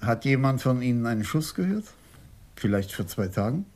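An actor playing an older police commissioner in a German radio crime drama, measured, formal and probing. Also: male voice, medium pitch, very animated, a little mellow. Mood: calm, probing. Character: composed, courteous but probing senior police commissioner.

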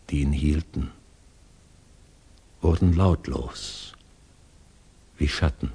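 A man acting as the grave narrator of a 1960s German radio drama, measured and solemn, with a husky voice. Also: rumbling low, a little mellow, full character voice. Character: grave male storyteller recounting a grim tale.

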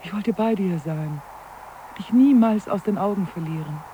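Actress for unhappy, devoted wife in an eighties radio play: medium-pitched, reflective, deliberate, bitter edge, audible tape noise. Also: female voice, dark tone, close to an everyday voice. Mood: yearning, wounded. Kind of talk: 1980s German radio drama acting. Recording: noisy cassette copy of radio play.

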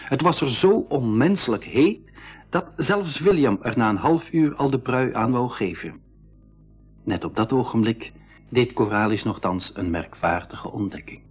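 A man playing an explorer who retells a jungle adventure as dramatic radio storytelling, with a husky voice. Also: medium pitch, expressive, a little slow. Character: male expedition member retelling a jungle adventure. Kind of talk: dramatic scripted storytelling narration. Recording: dull radio recording, music behind.

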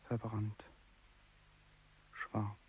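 An actor playing a numb, lost soldier in a radio drama, speaking haltingly and tonelessly in isolated words. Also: male voice, fairly low pitch, monotone. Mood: desolate. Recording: dull tape with hiss.

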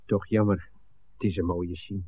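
An actor playing a rough, sneering underling to a crime boss in a 1960s radio drama. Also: male voice, deep voice, flat delivery, moderate pace. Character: rough male henchman, sneering and jumpy underling. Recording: tinny, narrow old radio recording.

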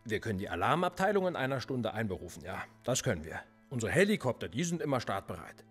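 A cool, sardonic police interrogator in a radio drama, with a low, husky voice. He questions with calm, dry needling and the occasional mocking edge. Faint music sits under the scene. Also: fast talker. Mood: controlled. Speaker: police officer. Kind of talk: radio play acting.

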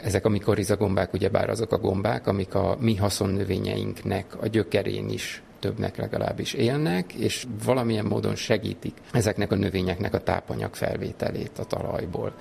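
A man's voice: lowish voice, steady tone, creaky, hardly any pauses, a little mellow. A man speaking as a researcher, explaining things in an interview in a laboratory, with faint noise behind him. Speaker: soil animal researcher. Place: laboratory at a microscope.

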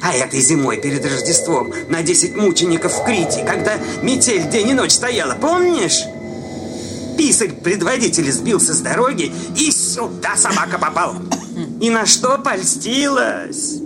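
An actor playing a jealous, superstitious village sexton in a radio drama, accusing and sputtering with sing-song exclamations. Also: male voice, sits high, big pitch swings, moderate pace. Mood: indignant ranting. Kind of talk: theatrical radio drama dialogue. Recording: loud music behind the voice.

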